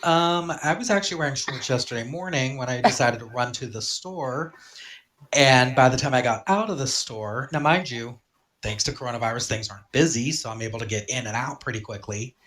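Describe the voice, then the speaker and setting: Very deep, raspy woman. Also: fairly bright tone. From United States East Coast. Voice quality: raspy, low rumble. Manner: playful, teasing, quick to laugh. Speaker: podcast co-host. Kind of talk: casual banter.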